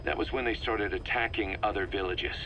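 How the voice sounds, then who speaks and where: An actor playing a blunt, wry, hard-boiled mercenary officer, delivering a clipped and emphatic briefing in a game. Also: male voice, on the low side, a little fast, sharp tone, highs cut off, slight background noise. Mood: wry, matter-of-fact. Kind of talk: dramatic game briefing monologue.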